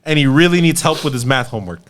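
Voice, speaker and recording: A man's voice: medium pitch, lively intonation, fast talker. A man hosting a podcast in casual chat, retelling stories with lots of swearing and frequent "right?" check-ins. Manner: sweary, peppers talk with "right?". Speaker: podcast host.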